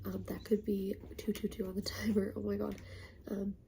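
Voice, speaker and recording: A woman's voice: medium pitch, level tone, moderate pace, gravelly, a little hiss or hum. Congested, half-whispering woman with a cold. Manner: soft, half-whispered, hesitant with many ums; apologetic and self-conscious about her voice. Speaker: spiritual tarot reader. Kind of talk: casual spiritual talk to camera.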